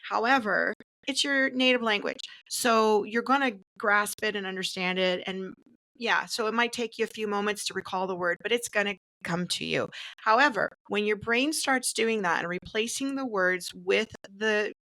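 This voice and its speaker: A woman hosting a podcast, talking casually and explaining things in a measured, instructive way. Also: medium pitch, sharp tone, poor audio. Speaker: podcast host.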